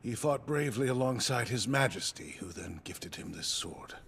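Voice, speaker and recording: A man's voice: medium pitch, expressive, moderate pace, gravelly, fairly bright tone, slight background noise. A man playing a dignified older nobleman, a grieving father, solemn and restrained in an anime dub. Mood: solemn grief. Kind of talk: English anime dub acting.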